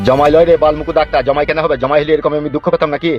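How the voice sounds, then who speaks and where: An indignant, shame-stricken village father put on by a male cartoon actor scolds and laments. His voice is high and very quick, recorded a little dully with music behind. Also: level tone, natural voice. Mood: wounded, scolding. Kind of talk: cartoon comedy-drama voice acting. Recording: dull audio with music behind.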